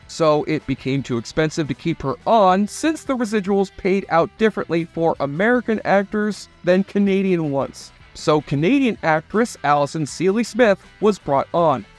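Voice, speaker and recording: A man reading scripted narration for a retrospective video documentary. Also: high voice. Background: faint music.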